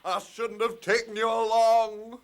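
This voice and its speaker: Grieving Viking chief. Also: male voice, very high-pitched, flat delivery, measured pace, a little hiss or hum. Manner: wailing, mournful pleading. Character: grieving Viking chief, a middle-aged father.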